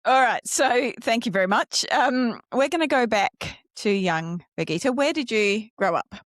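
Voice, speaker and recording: A woman's voice: on the high side, animated, normal speed, no room sound. A woman hosting a podcast, asking questions in a casual, conversational interview style. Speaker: podcast host.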